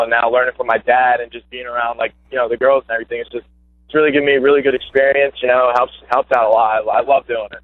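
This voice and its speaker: A man's voice: medium pitch, dry recording. A young man calling in as a guest, talking eagerly with lots of fillers and restarts. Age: young adult. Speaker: guest, former high school basketball player. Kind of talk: casual phone interview. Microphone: phone call. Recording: tinny phone line.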